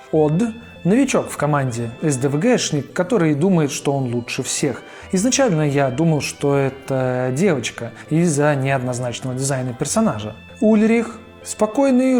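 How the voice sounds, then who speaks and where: A man's voice: a little high, dark tone. A man narrating a casual cartoon review in a chatty, slangy, opinionated way. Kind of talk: casual video-essay narration.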